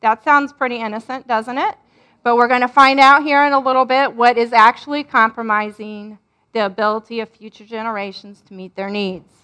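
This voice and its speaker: A woman giving a presentation at a church, speaking loudly in an earnest, instructive way straight to the audience. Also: fairly high pitch, steady tone, a little fast, smooth. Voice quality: loud voice.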